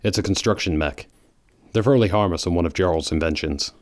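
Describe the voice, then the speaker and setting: A serious, gruff hedgehog antihero played by a man in a fan audio drama. The voice is low and deadpan, with short guarded phrasing and a dry, close sound. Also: fairly quick, dry recording, close to an everyday voice. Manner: deadpan, terse.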